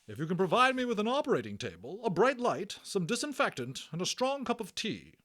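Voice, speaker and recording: A man voicing a brisk, indignant nurse matron in a children's storybook, shrill, clipped and no-nonsense. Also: very animated, fairly bright tone, heavily acted. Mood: brisk, resigned. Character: brisk bossy British nurse matron, indignant older woman.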